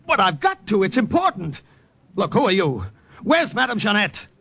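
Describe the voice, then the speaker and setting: A man playing an excitable young American army sergeant and spy in an urgent, dramatic old radio drama style. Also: high voice, normal speed, vocal fry, slightly rough, full character voice. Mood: frantic, urgent. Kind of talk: 1940s-50s radio drama acting and narration. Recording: noisy old-time radio recording.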